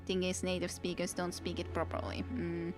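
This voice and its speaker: A woman from Finland talks in a relaxed, chatty way over her gameplay, her Finnish accent clear in every line. Her voice sits a little low, and she laughs easily as she answers her viewers. Soft game music plays quietly behind her. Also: moderate pace. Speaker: streamer. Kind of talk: casual gameplay commentary.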